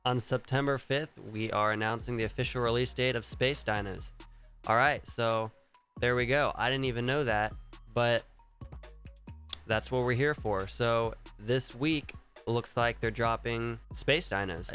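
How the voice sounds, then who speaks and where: A man with a stuffy, congested voice from a cold, wandering through his thoughts in a relaxed, casual way at a middle pitch. He restarts his sentences and thinks aloud as he goes. Faint music plays behind him. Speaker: crypto vlogger. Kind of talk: casual screen-share commentary.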